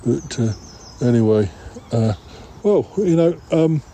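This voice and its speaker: A man with a very soft, dark tone and mid-range pitch plays out comic dialogue. He dithers and repeats himself. There is faint background noise around him. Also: expressive, slow pace. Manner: hesitant, dithering, repeats words. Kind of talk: improvised comic audio-drama dialogue in character.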